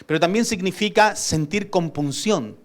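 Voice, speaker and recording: Emphatic, higher-voiced male pastor preaching. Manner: emphatic preaching that asks the congregation for responses; stretches key words slowly for weight. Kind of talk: sermon.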